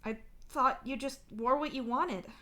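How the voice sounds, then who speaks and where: A woman's voice: on the high side, normal speed, fairly bright tone, pitched above the performer's own voice, lightly acted. A woman voicing an anxious, defensive troll protagonist in a story, protesting and pleading in a small voice. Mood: small, insistent.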